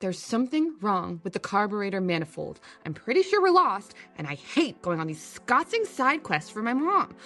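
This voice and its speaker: A woman's voice: medium pitch, sing-song, normal speed, hardly any pauses, a bit bright. Exasperated, sarcastic young woman. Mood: frustrated. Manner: sarcastic, grumbling. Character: grudging, exasperated young woman, dutiful daughter and mechanic. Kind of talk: audio drama acting and narration. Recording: music under the voice.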